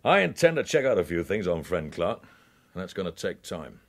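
Deep male voice, suspicious intelligence chief, authoritative, quietly threatening, radio thriller. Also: sing-song, exaggerated voice. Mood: measured threat. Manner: menacing, measured, authoritative. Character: commanding, suspicious security service boss. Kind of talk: radio crime drama acting.

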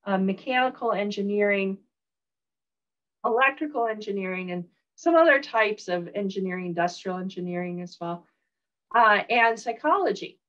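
A woman professor with a Southern US accent giving a recorded online lecture, relaxed, with frequent ums and uhs. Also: medium pitch, normal speed, lo-fi sound.

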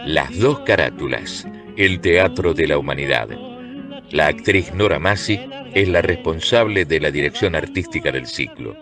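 A man's voice: a bass voice, expressive, normal speed, music in the background. A man reading scripted documentary narration.

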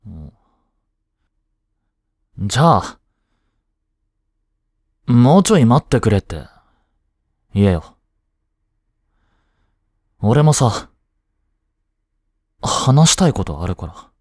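A man's voice: lowish voice, sing-song, extremely slow, long pauses, darkish tone, no room sound, studio quality, exaggerated voice. A young man playing a lovestruck, jealous schoolboy in a romantic voice drama, speaking casually with hesitations and sighs. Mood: teasing, then resolute. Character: lovestruck, jealous teenage schoolboy childhood friend. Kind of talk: Japanese romantic voice drama acting.

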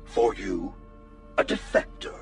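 An actor playing a cold, merciless alien leader in a radio drama, speaking in an imperious, accusing manner. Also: male voice, takes it slowly, over music, close to an everyday voice. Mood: condemning.

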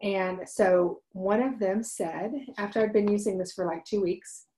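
Woman in her forties, earnest, halting with ums, loud voice, home webcam, mid pitch. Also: fairly even intonation, poor audio. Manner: earnest, many ums and restarts, talks with her hands. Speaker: host of a wellness livestream. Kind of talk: casual personal storytelling. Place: home room, indoors. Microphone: webcam at desk.

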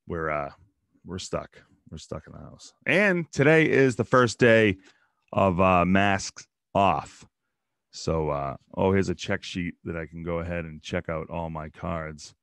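A man in his thirties hosting a casual solo show, rambling and joking as he opens card packs in a small home room on a boom mic. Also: gravelly, no room sound, clean studio sound. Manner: rambling, joking asides about players. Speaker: podcast host opening baseball card packs. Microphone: studio mic on boom arm, headphones.

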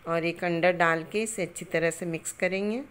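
A middle-aged woman hosting a cooking channel, calmly explaining a recipe step by step in a home kitchen, with homely greetings and blessings. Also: lowish voice, normal speed, clear, resonant tone.

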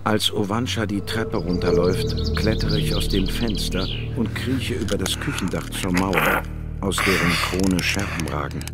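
A man's voice: deep voice, measured pace, over loud music. An actor playing a scientist who soberly narrates his own account in a radio drama. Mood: tense, sober. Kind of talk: scripted first-person radio drama narration.

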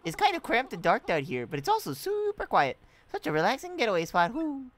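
A woman's voice: a little high, huge pitch swings, fairly quick, no room sound. A woman voicing a small, cheerful, playful game creature with a bouncy, exclamatory delivery. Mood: bubbly, eager.

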